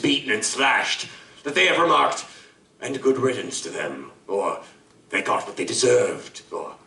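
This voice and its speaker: A man's voice: medium pitch, creaky, bright, forceful tone. A man playing a savage, articulate monstrous killer in a live audio drama, theatrical, grandiloquent and contemptuous. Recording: roomy live stage, distant mic.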